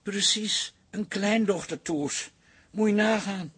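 An actor playing an eager, sentimental middle-aged husband with a raspy voice in a radio drama. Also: male voice, sits high, takes it slowly, fairly bright tone, heavily acted. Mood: amazed.

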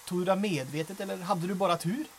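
An actor playing a wry, unhurried resistance lock-picker with a sweet tooth in a comic audio drama. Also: medium pitch, a little hiss or hum, close to an everyday voice. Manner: wry, teasing. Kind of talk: comedic audio drama acting.

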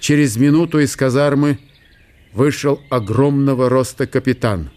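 A male narrator reads literary prose for a radio play. His voice is of medium pitch, delivered as scripted storytelling. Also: normal speed, a little mellow.

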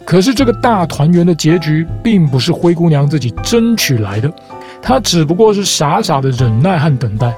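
A man hosts a children's show and walks through ideas with calm, patient explanations, like a teacher. His voice is mellow and warm, with faint music beneath it. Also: fairly high pitch, normal speed. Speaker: host, the show's dad figure.

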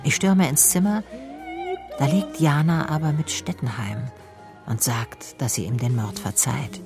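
A woman's voice: rumbling low, steady tone, measured pace, music in the background. An actress playing a shaken, introspective female private detective who narrates her case in a radio play. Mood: troubled, dreamy.

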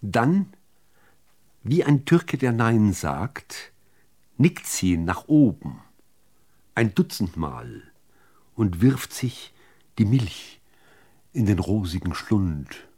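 A man reciting literary poetry, phrasing it in a measured, deliberate way with expressive emphasis. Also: on the low side, slightly rough. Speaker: reader of poems.

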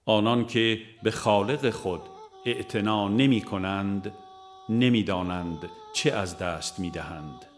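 Low-voiced man reading a religious audiobook. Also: soft background music. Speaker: audiobook reader. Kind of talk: scripted narration of a religious book.

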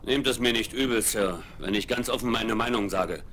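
A man's voice: fairly low pitch, normal speed, fairly bright tone, natural voice. An actor playing a stern, dutiful ship captain who voices his doubts in a blunt, formal way. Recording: hissy 1970s vinyl radio play.

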